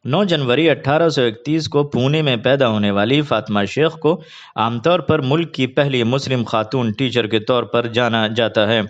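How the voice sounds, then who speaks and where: A man reads scripted news in a formal, measured announcer manner. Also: mid-range voice. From India. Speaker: news presenter. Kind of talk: scripted news reading in formal Urdu register. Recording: dull lo-fi online video audio.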